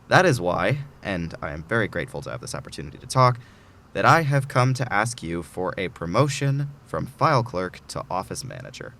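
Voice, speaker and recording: A man's voice: sits low, very animated, fairly quick, gravelly, pushed and bright, heavily acted. A man playing an anxious, eager middle-aged file clerk in an audio drama, nervous and earnest. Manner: nervous, earnest, self-coaching. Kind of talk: audio drama acting, talking to himself.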